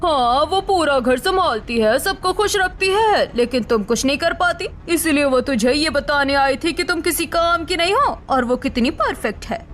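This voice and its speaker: A woman acting a harsh mother-in-law and her pleading daughter-in-law in an animated family drama, shrill and emphatic. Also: normal speed, few pauses, slight background noise. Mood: bitter, confused.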